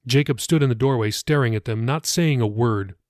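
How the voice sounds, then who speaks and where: A man's voice: medium pitch, fairly quick, darkish tone, no echo, clean studio sound. A man reading his own fiction audiobook as a scripted narration.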